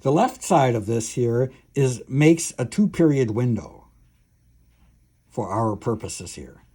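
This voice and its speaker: Male professor, mid-pitched and a little husky; halting technical lecture delivery, thinking aloud as he explains. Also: expressive, warm tone. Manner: halting, thinking aloud with frequent filled pauses and restarts.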